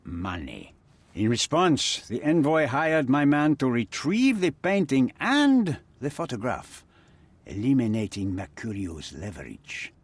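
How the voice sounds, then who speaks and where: A man's voice: mid-range voice, big pitch swings, a little slow, slightly rough, dry recording, heavily acted. A man voicing a cold, threatening crime boss and smuggler in video game cutscene dialogue. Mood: smug. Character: menacing male crime boss, smuggler and cutthroat.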